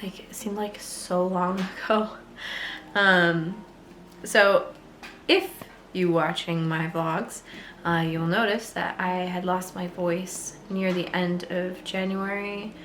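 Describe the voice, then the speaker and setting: A woman vlogger chatting casually about her knitting, her voice still a little hoarse as it recovers, rambling with fillers and light laughs. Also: on the low side, fairly even intonation, takes it slowly, fairly bright tone, roomy sound, a little hiss or hum. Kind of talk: casual chat to camera.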